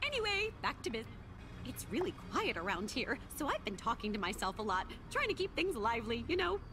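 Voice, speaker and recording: An actress voicing a chatty, breezy non-human creature in a video game, rambling along. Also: female voice, fairly high pitch, very animated, fairly quick. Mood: chirpy. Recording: game music behind the voice.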